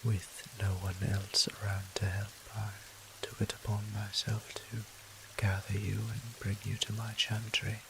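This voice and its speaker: Low, gentle vampire priest played by a man. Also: completely flat delivery, unhurried, noisy background. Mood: concerned, gentle. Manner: formal, old-fashioned, solicitous, softly breathy phrasing. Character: gentle, doting, courteous male vampire priest healer. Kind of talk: scripted roleplay audio drama, addressing the listener.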